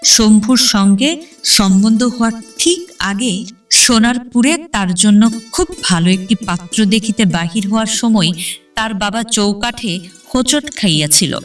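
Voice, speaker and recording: A woman's voice: medium pitch, measured pace, distant mic. A woman reading literary narration in a dramatic, scripted audio-story style. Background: background instrumental music.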